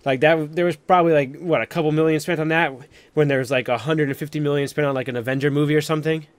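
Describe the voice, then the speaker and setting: A man's voice: fairly high pitch, steady tone, fast, clean tone, no echo. A man hosting a casual live stream chat, talking in a rambling, filler-heavy way with lots of "like". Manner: rambling, peppered with "like". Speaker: host of a live stream panel.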